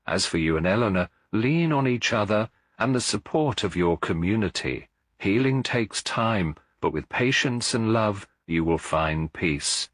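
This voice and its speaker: A man reading the part of a gentle, wise elderly lady sleuth in a mystery audiobook, measured, formal and reassuring. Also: on the low side, lively intonation, no room sound, clean studio sound.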